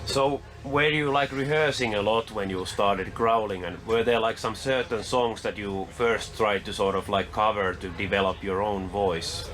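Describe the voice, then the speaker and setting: A man's voice: on the low side, normal speed, few pauses, a bit bright. A man interviewing a musician, asking long questions loosely strung with "like". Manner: loose, many "like" fillers.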